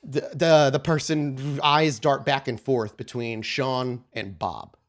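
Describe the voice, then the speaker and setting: A man improvising tabletop game narration as game master, halting as he searches for words. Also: medium pitch, fairly even intonation.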